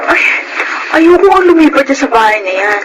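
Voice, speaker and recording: A woman's voice: high voice, big pitch swings, moderate pace, over loud music, rough recording. A woman playing a stubborn, whiny and indignant Filipino wife of thirty in a radio drama. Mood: complaining, upset. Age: 30s. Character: stubborn, dependent thirty-year-old wife, quick to bristle.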